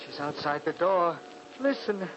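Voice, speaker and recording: An actor playing a mad, terrified lord in frantic, melodramatic 1940s radio horror style. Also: male voice, on the high side, expressive, unhurried, highs cut off. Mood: panicked terror. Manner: frantic, melodramatic, urgent repetition. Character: mad, terrified, tormented lord with murderous obsession. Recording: noisy vintage 1940s radio recording.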